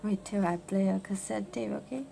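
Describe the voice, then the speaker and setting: Very gravelly Singaporean woman, halting. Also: medium pitch, mellow. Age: late 40s. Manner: halting, with trailing "ah" particles. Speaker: vlogger. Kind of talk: casual unboxing commentary. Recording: noisy amateur home recording.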